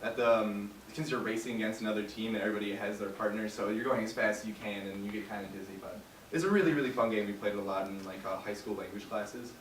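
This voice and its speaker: A man's voice: on the low side, fast. A young man giving a class presentation, explaining casually with many fillers, recorded from the back of a large classroom. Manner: relaxed, filler-heavy explaining. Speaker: student presenter. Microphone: far camera. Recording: echoey room from far off, noisy.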